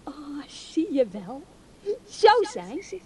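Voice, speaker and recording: An actress playing a nosy, know-it-all gossip in a radio play. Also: female voice, sits high, very animated, slow, warm tone, heavily acted. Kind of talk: Dutch radio play acting. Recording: noisy old radio drama recording.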